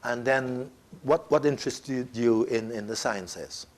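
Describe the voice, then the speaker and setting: A man hosting an interview, asking questions in a halting way with many restarts. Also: mid-range voice. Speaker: interview host.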